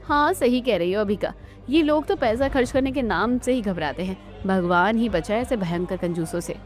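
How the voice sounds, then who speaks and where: A woman acts out a gossipy neighbour lady from an Indian family cartoon. She sounds sneering and disapproving at a medium pitch, with faint music behind. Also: lively intonation, normal speed, lightly acted. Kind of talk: Hindi family cartoon dialogue.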